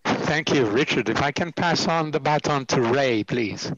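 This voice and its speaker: A man's voice: medium pitch, unhurried, background noise. Courteous man moderating, dull lo-fi sound. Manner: courteous, hesitant hand-offs with ums. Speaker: panel co-chair, moderator. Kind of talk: moderating an online panel.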